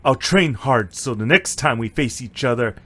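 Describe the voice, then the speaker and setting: A man's voice: medium pitch, lively intonation, normal speed, fairly bright tone. A man playing a confident, competitive young martial arts student in an audio drama, with faint noise in the recording. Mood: good-natured rivalry.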